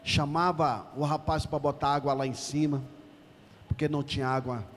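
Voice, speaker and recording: A man's voice: on the high side, steady tone, normal speed, slight background noise. A middle-aged man from Salvador, preaching in church and calling out to the congregation. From Salvador, Bahia, Brazil. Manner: emphatic, calls out to the congregation. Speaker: pastor. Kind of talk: preaching a sermon. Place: church hall. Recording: church hall reverb.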